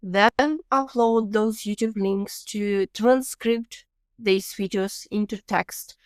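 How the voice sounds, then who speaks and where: A woman with a Ukrainian accent hosts a relaxed podcast conversation, walking listeners through practical tips in earnest, slightly non-native English. Her voice is smooth and clear, sitting mid-range for a woman. The sound is close and dry, with no room around it. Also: mid-range voice, slow, dry recording. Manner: earnest, explanatory, laughs easily. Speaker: podcast host. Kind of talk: casual podcast interview.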